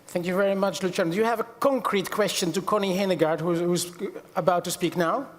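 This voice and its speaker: A middle-aged man chairs a panel in a large auditorium, his fairly high voice carried by a long hall reverb. He speaks formally, corrects himself mid-phrase and drops in dry little asides. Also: steady tone, brisk. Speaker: panel moderator. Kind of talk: conference panel moderation.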